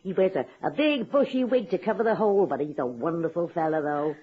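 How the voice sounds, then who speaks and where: Radio comedy: plain-spoken, good-natured poor woman. Husky, very animated, wry homespun delivery. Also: lowish voice, fairly quick. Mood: wry, chatty. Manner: folksy, ungrammatical, drawling deadpan. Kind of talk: radio comedy drama acting. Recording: dull, lo-fi old radio recording.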